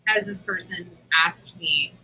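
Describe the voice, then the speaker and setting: A woman with a sharp, very bright voice pitched slightly low talks casually and candidly, as if venting to a close co-host. Her delivery is informal and unfiltered, with noticeable noise behind the recording. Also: measured pace, low-quality recording. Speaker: podcast co-host.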